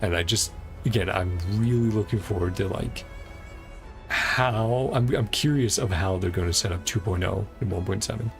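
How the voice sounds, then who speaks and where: A man's voice: lowish voice, moderate pace. A man chatting casually on a livestream while he plays a game, sounding easygoing and enthusiastic. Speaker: live streamer. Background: game music.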